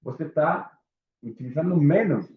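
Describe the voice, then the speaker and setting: A man's voice: fairly high pitch, expressive, measured pace, phone-quality sound. Chilean guy teaching a class in portuguese, spanish accent, friendly lecture, echoey room, phone-ish sound. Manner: casual, engaging, asks the audience questions. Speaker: instructor. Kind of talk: live lecture to a small group.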